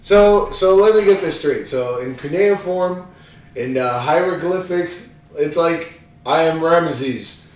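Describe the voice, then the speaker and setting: A man's voice: a little high, slow pace. Rambling man in an echoey room. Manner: rambling, incredulous. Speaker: podcast host reviewing a movie. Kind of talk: casual movie commentary. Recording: echoey room, distant mic.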